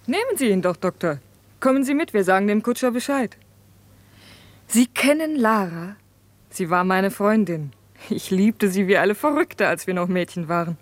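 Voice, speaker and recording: An actress playing a brisk, bossy but loyal young working woman, emphatic and blunt, in a 1950s German radio drama. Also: medium pitch, expressive, no room sound, lightly acted. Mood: animated, exasperated.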